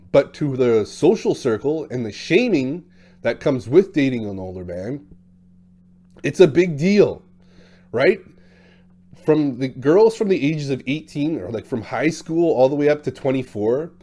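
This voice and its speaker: A man's voice: on the high side, mellow. A man in his thirties or forties talking bluntly and casually about dating, with lots of fillers. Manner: talkative, blunt, acts out quotes in shifting voices. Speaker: dating coach. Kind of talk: casual monologue to camera.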